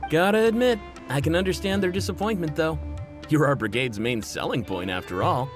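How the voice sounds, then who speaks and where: A man acting a friendly, rough mercenary in a video game, with a casual, joking manner. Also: a little high, expressive, fairly quick, close to an everyday voice. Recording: music behind the voice.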